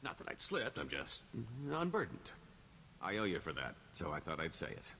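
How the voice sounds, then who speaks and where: An actor playing a roguish, glib smuggler and con artist in a video game, with a wry, theatrical delivery. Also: male voice, very deep voice, wildly swooping pitch, moderate pace, sharp tone, a little hiss or hum, exaggerated voice. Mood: upbeat, boastful. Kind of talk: video game character dialogue.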